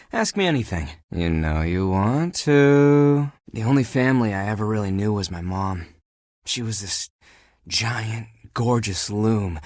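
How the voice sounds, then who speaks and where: A man voicing a flirty, laid-back but insecure living pile of laundry in a dating video game, talking in a casual, teasing, breathy way. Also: on the low side, dry recording, clean studio sound. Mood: wistful.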